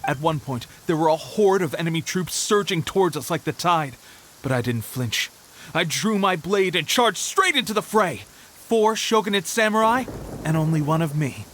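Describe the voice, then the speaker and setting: An actor playing an eager, boastful young soldier in a video game, earnest and full of bravado. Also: male voice, fairly high pitch, expressive, normal speed, fairly bright tone, a little hiss or hum. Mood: proud, excited. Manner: enthusiastic, storytelling bravado. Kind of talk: video game character dialogue.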